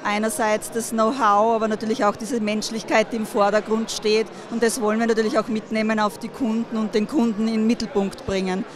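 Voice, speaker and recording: Woman, flat voice, crowded hall. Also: fairly high pitch, a little fast, hardly any pauses, a crowd in the background. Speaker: real estate agent. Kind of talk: event interview.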